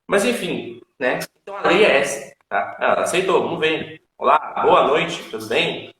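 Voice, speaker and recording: A fairly high-voiced man hosts a home live stream. He talks in a casual, emphatic teaching way, often checking in with his listeners. His voice rings through a very echoey room. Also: animated, moderate pace, a bit bright. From Salvador, Bahia, Brazil. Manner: chatty, repeats tag questions, emphatic. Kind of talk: casual teaching chat with viewers.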